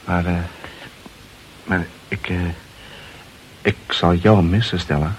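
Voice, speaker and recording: An actor playing a restless, wry young newspaper reporter in an old radio drama, with an excitable, theatrical delivery. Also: male voice, deep voice, slow pace. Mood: bored, frustrated. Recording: noisy old radio play recording.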